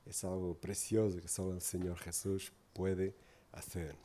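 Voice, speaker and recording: A man's voice: low-pitched, level tone, measured pace, dark tone, slight background noise. A man from Portugal preaching in Spanish with a Portuguese accent, giving an earnest, informal sermon full of tag questions.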